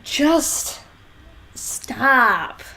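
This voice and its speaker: Female co-host, higher register. Casual podcast banter, sardonic and exasperated. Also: animated, very slow, gravelly, sharp tone, low-quality recording. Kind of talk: casual book discussion podcast chat.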